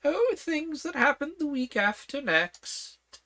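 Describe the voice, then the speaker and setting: Scatterbrained old storybook queen, high and fluttery, a woman reading it. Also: sing-song, slow, bright, forceful tone, full character voice.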